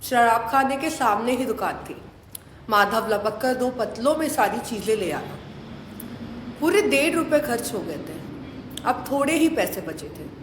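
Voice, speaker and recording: A woman's voice: a little high, lively intonation, unhurried. A woman narrating a story dramatically as part of an audio play. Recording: echoey hall, faint noise.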